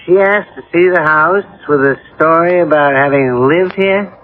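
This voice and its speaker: An actor playing a suspicious, scornful older husband in a 1980s radio drama, sardonic and skeptical. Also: male voice, fairly high pitch, moderate pace, close to an everyday voice. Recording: narrow-band vintage radio broadcast.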